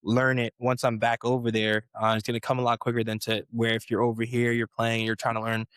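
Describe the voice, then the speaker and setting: A man's voice: medium pitch, completely flat delivery, fairly quick, a bit bright, clean tone, dry recording. A young American man, a professional footballer, chatting casually as a podcast guest, rambling with many restarts and fillers. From United States. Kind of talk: casual interview chat.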